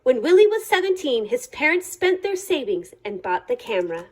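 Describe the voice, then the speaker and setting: Female storyteller for children's picture book: high voice, lively swooping intonation, deliberate dramatic phrasing. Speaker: reader of a children's picture book, library staff. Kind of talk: expressive read-aloud storytelling for children.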